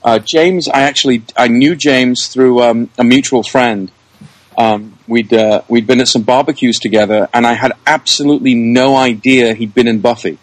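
A man's voice: medium pitch, steady tone, normal speed, no room sound, poor audio. A man speaking as a guest in a casual podcast interview, effusive and often repeating words as he gathers his phrasing. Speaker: interview guest, producer, director and actor.

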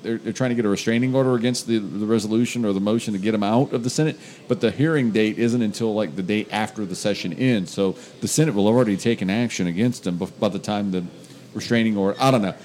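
A man's voice: on the low side, fast talker, a little mellow, a little hiss or hum. Man rambling with lots of uh's. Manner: rambling, full of "uh" and restarts. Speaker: podcast co-host. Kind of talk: casual podcast chat.

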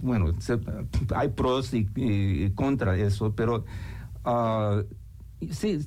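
A man's voice: lowish voice, takes it slowly, a little mellow. A man hosting a casual interview, telling anecdotes in a chatty way. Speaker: host.

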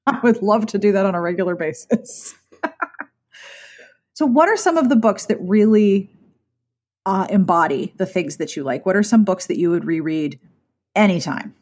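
A woman's voice: medium pitch, animated, normal speed, long pauses, a little mellow. A woman hosting a podcast interview in a talkative, thoughtful, casual way. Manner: thoughtful, talkative, frequent restarts. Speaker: podcast host.

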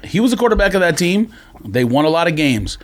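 A man's voice: fairly high pitch, very animated, fast talker. A man co-hosting a sports podcast, debating casually in an emphatic, insistent way. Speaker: sports and sneaker podcast co-host.

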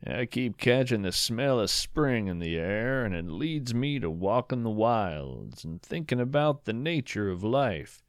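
A man's voice: on the low side, no room sound, clean studio sound. A man hosting a storytelling podcast with scripted, poetic narration, musing and savoring his words.

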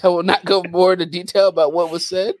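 A man's voice: high voice, little pitch movement, fast, crowd noise. A man co-hosting a podcast in casual banter, often laughing as he talks. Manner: laughs often while talking. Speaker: podcast co-host.

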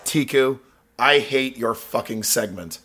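A man co-hosting a comedy podcast, hoarse and excitable, full of mock outrage and swearing. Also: mid-range voice, a little slow. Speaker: podcast co-host. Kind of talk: comedy banter.

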